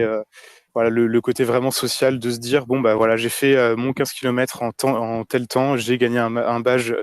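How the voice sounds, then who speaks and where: A man's voice: medium pitch, clean tone, dry recording. A man hosting a casual online audio chat, talking with many hesitations and fillers. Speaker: host of a community audio space.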